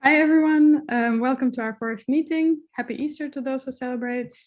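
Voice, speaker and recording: A woman's voice: high voice, steady tone, a little fast, highs cut off. A woman from Azerbaijan moderating an online meeting over a video call, delivering an earnest prepared introduction.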